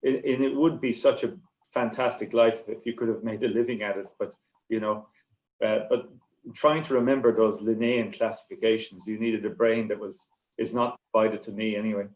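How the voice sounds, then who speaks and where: A man answering as a writer on a literary panel, speaking haltingly with many repeated words and restarts. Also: lowish voice, fairly even intonation, a little mellow. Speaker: short story writer on a festival panel. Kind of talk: rambling panel discussion answer. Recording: echoey, roomy sound.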